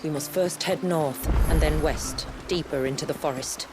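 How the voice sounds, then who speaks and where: A grave woman of a fantasy village, played by an actress, speaks in a low voice with music beneath. She guides travelers and tells her people's history in a measured, solemn way, as in a dramatic game cutscene. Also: close to an everyday voice. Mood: guarded, purposeful. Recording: music under the voice.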